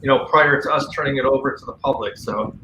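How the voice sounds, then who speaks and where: A man's voice: mid-range voice, quick pace, sharp tone. A man talking casually and earnestly as a guest on a paranormal radio show, with firm opinions. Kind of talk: casual conversational talk. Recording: roomy echo, faint background noise.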